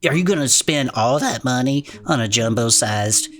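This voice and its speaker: A woman's voice: a bass voice, expressive, normal speed, faint music behind. A woman playing an irreverent, chatty cryptid podcast host in comedic audio fiction, with slangy, sweary banter. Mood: animated.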